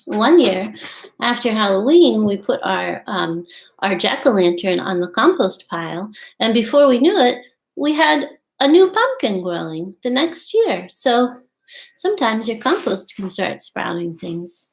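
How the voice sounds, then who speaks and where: A woman's voice: medium pitch, sing-song, unhurried, creaky. A middle-aged woman hosting a children's storytime, talking casually and reading aloud in simple, explanatory phrases, recorded indoors at home on a computer mic. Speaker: storytime host. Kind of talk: casual show-and-tell and picture-book read-aloud for young children. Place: small home room with wainscot walls, indoors. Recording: echoey room, home webcam.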